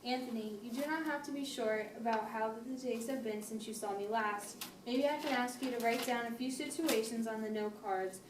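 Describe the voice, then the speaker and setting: A woman playing a patient, firm family therapist, speaking in a measured, formal way in an amateur role-play. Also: fairly high pitch, close to an everyday voice. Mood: calm, directing. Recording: noisy echoey room, distant mic.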